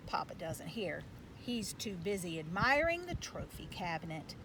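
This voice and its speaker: A woman reading a children's storybook aloud in an expressive narrating style, like a school educator. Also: fairly low pitch, normal speed, recorded from a distance, background noise.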